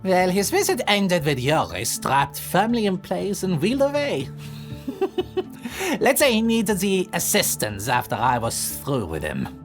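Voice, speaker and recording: A man's voice: high-pitched, very animated, measured pace, soft background music. An actor playing a weary, theatrical immortal man who talks in florid, sardonic turns in an audio roleplay. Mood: scornful. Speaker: lead character in an audio drama. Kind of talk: ASMR audio roleplay acting.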